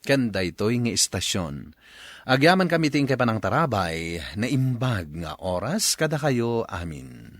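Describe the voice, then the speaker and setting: A man with an Ilocano accent hosting a radio program, giving advice and announcements in drawn-out, dramatic phrasing. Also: mid-range voice, sing-song, no echo, studio quality.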